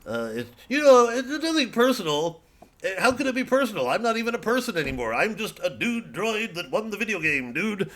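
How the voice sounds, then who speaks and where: A man giving a rambling, digressive comedian's monologue, halting and sardonic, peppered with casual filler phrases. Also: sits high, big pitch swings, fairly bright tone. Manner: sardonic, mocking, profane when worked up. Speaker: comedian promoting his show.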